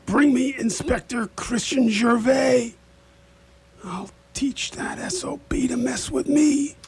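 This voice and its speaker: A man's voice: extremely high pitch, slow, soft background music, pitched above the performer's own voice, exaggerated voice. A man voicing an ailing, vengeful small-town sheriff in a radio play, giving orders in a strained whisper.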